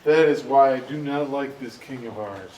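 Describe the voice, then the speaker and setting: A man's voice: medium pitch, normal speed, lo-fi sound, lightly acted. A man playing a kindly old storyteller, earnest and theatrical, in a staged musical reading. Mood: sorrowful. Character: kindly old storyteller, former royal page. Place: indoor stage at an arts venue. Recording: echoey stage, distant mic, faint noise.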